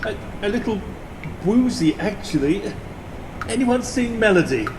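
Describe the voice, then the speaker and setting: A man's voice: high-pitched, big pitch swings, a little slow, noisy background. A man playing a frail, muddled old lord in an audio drama, stammering feebly. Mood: woozy, confused. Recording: loud music behind.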